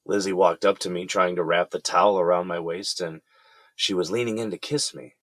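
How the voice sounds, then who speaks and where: A man's voice: low voice, fairly even intonation, brisk, fairly bright tone, dry recording. A man playing a weary middle-aged defendant who explains himself in an earnest, conversational way in an audio drama. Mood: subdued, confiding.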